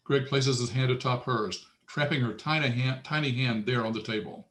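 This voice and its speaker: A man's voice: medium pitch, moderate pace, fairly bright tone. A man narrating stage directions matter-of-factly at a screenplay table read.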